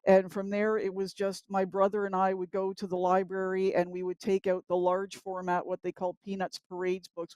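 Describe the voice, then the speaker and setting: A woman's voice: fairly low pitch, a little mellow, no echo. A woman guest on a talk show, casually telling personal stories in long, flowing anecdotes.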